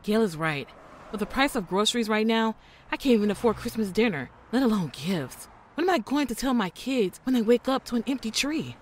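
A woman's voice: a little high, animated, fairly quick, a little hiss or hum, lightly acted. An actress playing a worried, hard-up mother turned thief in an audio drama.

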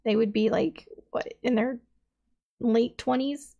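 A woman's voice: on the high side, fairly even intonation, takes it slowly, vocal fry, dark tone, highs cut off. A woman in her thirties hosting a reaction video, thinking aloud in a rambling, casual way with many fillers, in a small dim room.

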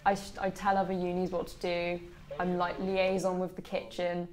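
A woman's voice: on the low side, monotone, moderate pace, darkish tone. A young adult woman speaking casually in an interview as an event helper. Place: small lakeside room with large windows.

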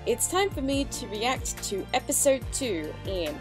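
A woman's voice: on the high side, very animated, unhurried. A woman giving a chatty, enthusiastic, casual reaction commentary as host of her video. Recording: music behind the voice.

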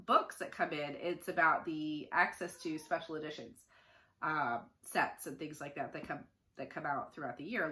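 A woman's voice: on the low side, a bit bright. A middle-aged woman chatting casually to camera as an unboxing host, informal and opinionated, with a nasal voice stuffed up from the flu. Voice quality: nasal, congested. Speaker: book reviewer hosting an unboxing. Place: small furnished room indoors.

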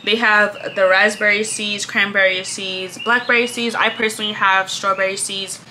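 A young woman vlogging casually at her desk in a small room, laid-back and chatty, her voice a little congested and often trailing off softly at the ends of lines. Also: medium pitch, level tone, normal speed, a little hiss or hum, poor audio. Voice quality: slightly congested. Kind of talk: casual chat.

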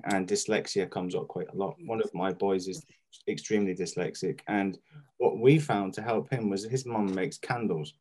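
Male online host, slightly low voice, casual, effusive and halting in his delivery. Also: dark tone, poor audio. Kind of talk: casual chat responding to a speaker. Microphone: video call.

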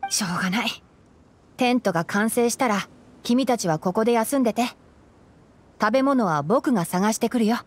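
A woman playing a calm, knowledgeable young male forest ranger in an anime game, explaining things in a composed, gently teasing way. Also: on the high side, normal speed, pauses often, slight background noise, lightly acted. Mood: dryly teasing. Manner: explanatory, composed, lightly dry. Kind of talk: Japanese anime game voice acting.

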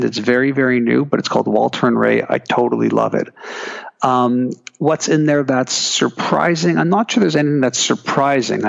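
A man's voice: mid-range voice, vocal fry, lo-fi sound. A man talking casually as an interview guest, chatty with frequent restarts and fillers. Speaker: guest, keynote speaker.

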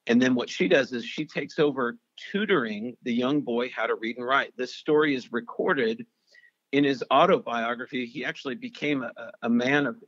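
An earnest man tells an emotional personal story in a medium voice, often restarting and repeating words. The recording is close and dry, with muffled, dull highs. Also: normal speed, fairly bright tone, dry recording. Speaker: storyteller guest. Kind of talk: personal testimony interview.

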